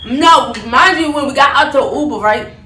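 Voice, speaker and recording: A young woman tells a story in a casual, animated way. Her talk is slangy and loops back on itself as she retells things. She is a little far from the mic in an echoey room, with clear background noise. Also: sits high, moderate pace. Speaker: vlogger telling a story. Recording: roomy, distant mic, noticeable background noise.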